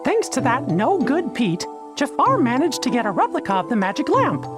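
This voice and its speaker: A man voicing a kindly, earnest mouse in an animated game, in a squeaky falsetto with a gentle storytelling manner. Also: moderate pace, music in the background, full character voice. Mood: recounting. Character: kindly, earnest male cartoon mouse leader. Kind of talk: animated game character acting.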